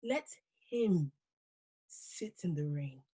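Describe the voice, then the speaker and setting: A woman performing a dramatic stage monologue as a wounded, bitter wife, with deliberate, halting, impassioned delivery. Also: lowish voice, animated, dark tone, husky, full character voice. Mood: cold, bitter. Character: wounded, bitter wife who survived violence and rejection.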